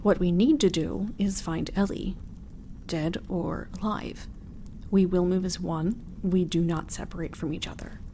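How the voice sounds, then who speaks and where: Woman acting a low-voiced, commanding blood hunter. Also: steady tone, measured pace, warm tone, slight background noise. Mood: resolute. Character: composed, commanding blood hunter, an investigator leading a group. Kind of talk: gothic horror audio drama acting. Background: music.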